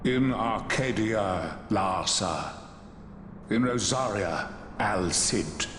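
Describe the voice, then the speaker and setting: An actor playing a serene, ancient holy seer in a video game, speaking in a solemn, measured, cryptic way. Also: male voice, on the low side, background noise. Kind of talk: scripted game cutscene acting. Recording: echoing hall with music.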